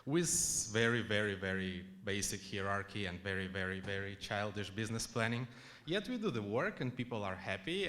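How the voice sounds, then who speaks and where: A man's voice: mid-range voice, a bit bright. Chatty man giving a conference talk. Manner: chatty, many fillers and restarts. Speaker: conference speaker. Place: conference hall.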